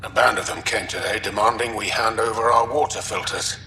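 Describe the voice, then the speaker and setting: A man's voice: fairly low pitch, fairly even intonation, a little fast, bright, forceful tone. A man playing a wary, scornful alien settler recording an audio log in a video game. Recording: roomy, distant log playback, faint noise.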